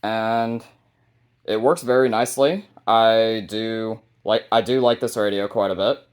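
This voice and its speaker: A man with a fairly low voice hosts a hobby video. He talks casually, presenting items from a collection one by one in an informal show-and-tell way. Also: steady tone, unhurried, clean tone. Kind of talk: casual collection showcase narration.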